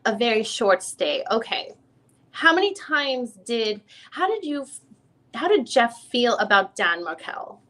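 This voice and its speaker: A woman playing a female lawyer takes a witness through a deposition. Her voice is fairly high and sharply bright. She questions persistently and methodically, restarting and rewording as she goes. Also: sing-song, a little slow. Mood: probing, businesslike. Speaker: reader playing a deposing attorney. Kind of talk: dramatic reading of a deposition transcript.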